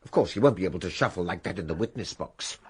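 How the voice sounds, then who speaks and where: A man's voice: deep voice, expressive, fast talker, noisy background. A man playing a dry, authoritative upper-class English senior investigator in a radio mystery, formal and pointed. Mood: formal, questioning. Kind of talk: 1920s country-house radio mystery acting.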